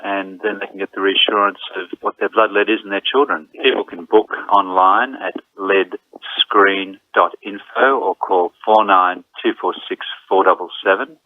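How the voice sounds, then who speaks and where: A man's voice: low voice, fairly even intonation, moderate pace, no room sound. A man giving information as a health spokesman in a news interview clip over the phone. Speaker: health service doctor and spokesman. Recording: tinny, narrow phone line.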